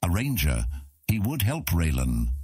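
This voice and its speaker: A man with a husky voice narrating a scripted fantasy audiobook. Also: low voice, wildly swooping pitch, unhurried, mellow, dry recording, studio quality.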